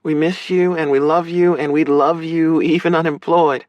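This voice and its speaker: Man as grown-up big sister, high voice, dry close sound, reassuring, frank, emotional, audiobook dialogue. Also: little pitch movement, moderate pace, a little mellow, no echo, close to an everyday voice. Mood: frank, caring. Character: Ruth, caring, frank big sister, adult woman.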